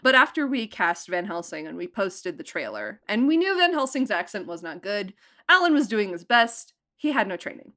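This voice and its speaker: A woman producer of an audio drama, chatting casually in an interview, animated and laughing often. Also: normal speed. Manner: animated, laughs often, jumps up high in pitch for emphasis.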